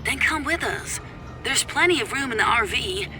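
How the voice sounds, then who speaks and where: Bored, insistent male ex played by a woman narrator, low-key, dismissive delivery, audiobook drama over music. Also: normal speed. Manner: quiet, flat, dismissive. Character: bored, pushy ex-boyfriend and father, a man.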